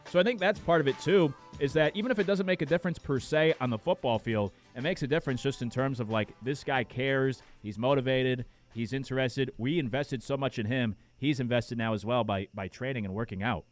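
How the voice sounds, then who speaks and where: A male sports radio host rambles casually through his takes, filling pauses with little hesitations, his voice going strained and worn. He sits at a studio microphone, mid-pitched and conversational, with faint music bedded underneath. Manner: casual, rambling, many fillers. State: losing his voice. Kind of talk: sports talk radio chat.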